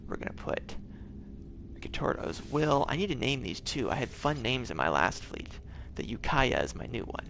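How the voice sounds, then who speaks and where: A man's voice: mid-range voice, big pitch swings, moderate pace, gravelly, fairly bright tone, a little hiss or hum. A man casually commenting on his game for a live stream, rambling and tripping over his words as he plays at home. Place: home, gaming on a TV.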